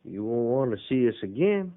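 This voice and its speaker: A man's voice: medium pitch, sing-song, fairly quick. A man playing a surly, spiteful ruffian in a 1950s radio western, with a contemptuous rustic drawl. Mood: threatening. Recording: narrow, muffled 1950s radio broadcast.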